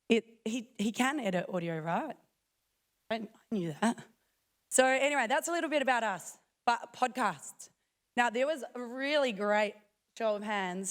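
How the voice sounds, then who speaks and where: Woman, croaky voice, fairly high pitch, smooth underneath, chatty and self-mocking on stage. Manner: chatty, self-joking, quick asides. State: croaky, losing her voice. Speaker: conference speaker. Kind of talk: casual conference talk. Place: conference hall.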